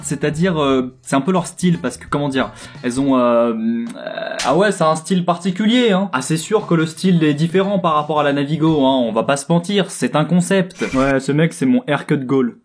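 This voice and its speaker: A young man with a French accent from France talks very quickly in a fairly high-pitched voice with a rough, husky edge. He chats to viewers like a vlogger, casual and slangy, slipping in cheeky, teasing asides as he explains things. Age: 20s. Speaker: host of a video blog about living abroad.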